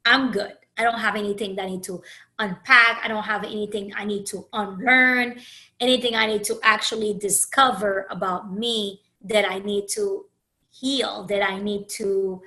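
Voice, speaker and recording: A woman who grew up in Haiti, hosting her own podcast, talking through her thoughts in an earnest, searching way with many restarts and pauses. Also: medium pitch, steady tone, pushed and bright, low-quality recording. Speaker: podcast host and coach. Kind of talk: reflective solo podcast monologue.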